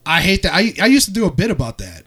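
A man with a slightly high voice talks in a casual comedy podcast style. He is opinionated and profane, and he likes to slip into mocking imitations to make his point. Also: sing-song, quick pace, fairly bright tone, breathy edge. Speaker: podcast host.

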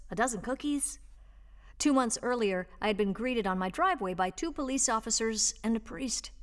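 A woman reading a written personal piece aloud as scripted narration, with a measured, expressive storytelling delivery. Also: mid-range voice. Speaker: volunteer reader and host of an audio reading service.

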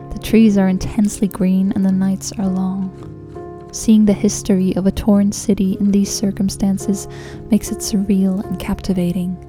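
A woman's voice: medium pitch, dark tone. A woman whose first language is Dutch, reading a travel memoir aloud in a reflective, wry way. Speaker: narrator. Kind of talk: scripted travel memoir narration.

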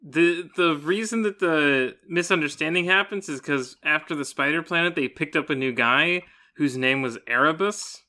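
A man's voice: a little high, normal speed, few pauses, sharp tone. A man co-hosting a podcast, discussing a book in a casual, jokey way. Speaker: podcast co-host.

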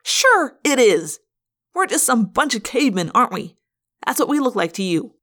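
An audiobook character, a woman playing a sardonic teenage boy who accuses and needles. The delivery is pushy and sarcastic, lifted in pitch and loud. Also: animated, fairly quick. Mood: angry, sarcastic. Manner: sneering, pressing, sarcastic.

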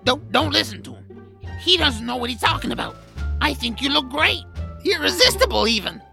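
A cranky, eccentric troll cook from a fantasy audio drama, played by a woman. He has a bright, forceful edge over heavy gravelly creak. He grumbles theatrically in a high-set, excitable voice that leaps around in pitch. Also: normal speed, soft background music, exaggerated voice. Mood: smitten, defensive. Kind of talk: family audio drama character acting.

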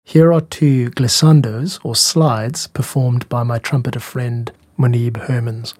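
A man hosting a podcast about making music, explaining things in a measured, candid, self-critical way. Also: medium pitch, steady tone, darkish tone, slightly rough, dry recording. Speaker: music podcast host and composer.

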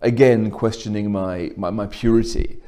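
A man from Scotland speaks in a fairly low, mellow voice. He argues a political case fluently, stacking point on point as a discussion guest. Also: fairly even intonation, moderate pace. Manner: fluent, argumentative, building points step by step. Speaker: guest commentator.

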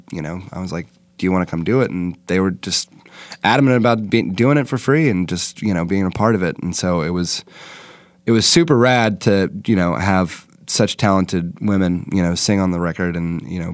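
A man's voice: low voice, no echo. A young man, a rock singer, chatting loosely in an interview with many fillers and restarts. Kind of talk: casual interview chat.

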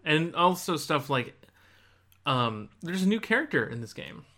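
A man's voice: on the high side, sing-song, unhurried, bright, forceful tone. A man co-hosting a podcast, chatting casually about video games. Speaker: podcast host. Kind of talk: casual video game chat.

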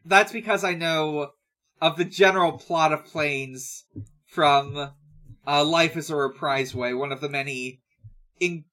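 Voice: medium pitch, sharp tone. A podcast host chatting casually and reading out listener questions, hesitant and often trailing off mid-thought.

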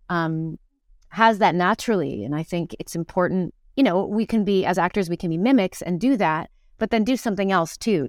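A woman's voice: fairly low pitch, no room sound, studio quality. A woman talking casually and reflectively as an interview guest, often thinking aloud in broken-off phrases. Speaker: interview guest, stage actor.

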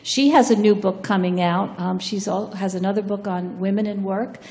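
A woman's voice: medium pitch, steady tone, normal speed, darkish tone. Woman dean intro-ing a panel, lots of ums, long echo from the lecture hall. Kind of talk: panel introduction and short talk. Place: large lecture room.

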